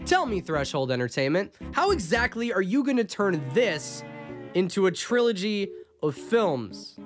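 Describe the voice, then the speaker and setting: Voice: medium pitch, very animated, a little slow, soft background music. A narrator delivering a scripted video essay in an opinionated, sarcastic, emphatic way.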